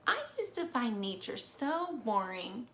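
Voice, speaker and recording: A woman reading a children's storybook aloud expressively, with deliberate phrasing and playful emphasis. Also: a little high, sing-song, sharp tone. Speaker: read-aloud presenter. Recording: echoey room with faint noise.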